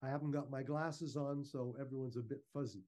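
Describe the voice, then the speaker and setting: A man giving an informal, measured, explanatory slide talk over a video call. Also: mid-range voice, fairly even intonation, mellow. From Canada. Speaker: guest speaker, historical reenactor. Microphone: video call microphone.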